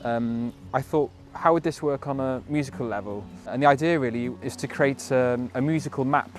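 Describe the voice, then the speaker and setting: A man from West Wales, a folk musician, speaking in a measured, thoughtful way with hesitant pauses. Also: medium pitch. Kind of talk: reflective spoken appeal for a project. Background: plucked guitar music.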